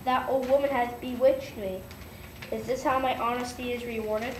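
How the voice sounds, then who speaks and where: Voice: mid-range voice, steady tone, moderate pace, recorded from a distance, close to an everyday voice. A young schoolchild playing a proud, stubborn baker, reciting lines in a school readers' theater. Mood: aggrieved. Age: child, about eight or nine. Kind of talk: recited school play dialogue. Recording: echoey, noisy room from afar.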